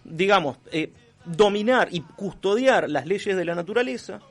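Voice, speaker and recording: An Argentine man giving a conversational radio column on culture, reflective and halting as he searches for words. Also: sits high, expressive, soft background music.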